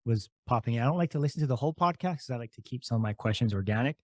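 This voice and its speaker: A man's voice: medium pitch, lively intonation, racing, no room sound, clean studio sound. A man hosting a podcast, asking questions in a loose, rambling, conversational way. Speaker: podcast host. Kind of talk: casual interview talk.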